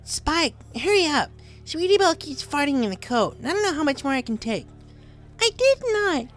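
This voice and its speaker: Squeaky falsetto pony fillies. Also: male voice, very animated, normal speed, a bit bright, soft background music, full character voice. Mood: exasperated. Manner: bickering, childish, falsetto. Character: squabbling little pony fillies, cheeky and exasperated.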